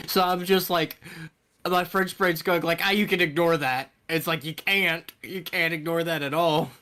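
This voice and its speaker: A man's voice: a little high, a bit bright. A man co-hosting a podcast, telling stories and joking in a rambling, casual chat. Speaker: podcast co-host.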